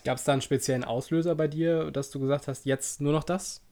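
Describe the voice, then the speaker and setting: A man's voice: fairly high pitch, steady tone, fairly quick, a little mellow. A man hosting a podcast, asking long, rambling questions in a casual, friendly interview. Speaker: podcast host.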